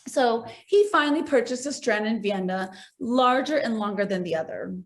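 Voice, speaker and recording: An actress playing a self-possessed, wealthy society widow, poised and matter-of-fact in a stage comedy over a video call. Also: female voice, fairly high pitch, animated, normal speed, close to an everyday voice.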